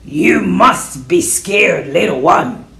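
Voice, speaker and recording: A woman voicing a big gray male wolf who is calm and helpful, speaking slowly in a quiet, reassuring way. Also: lowish voice, vocal fry, a crowd in the background, heavily acted. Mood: gentle, calm.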